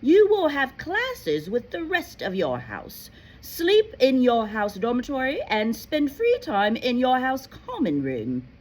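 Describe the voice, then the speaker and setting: A woman voicing a stern, formal senior witch professor in a storybook, with declamatory, deliberate delivery. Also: high-pitched, huge pitch swings, a little mellow, pitched above the performer's own voice, exaggerated voice, low-quality recording. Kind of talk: storybook character voice.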